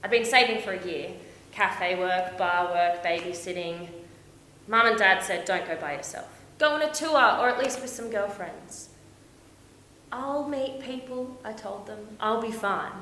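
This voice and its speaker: A woman performing a stage monologue as a young, romantic, homesick backpacker, confiding and expressive with dramatic pauses. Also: medium pitch. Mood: wistful, eager. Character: young Australian woman backpacker, dreamy, lovestruck, heartbroken, homesick. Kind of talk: theatrical stage monologue, storytelling to an audience. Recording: echoey room with faint noise.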